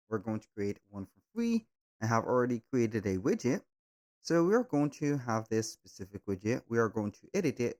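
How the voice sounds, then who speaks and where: A man hosting a step-by-step software tutorial, speaking in halting, repetitive phrases with slightly non-native grammar. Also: mid-range voice. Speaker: tutorial host.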